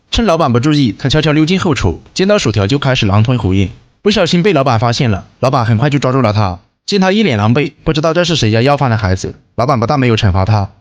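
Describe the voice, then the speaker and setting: A man reading a scripted film recap as narrator. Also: mid-range voice.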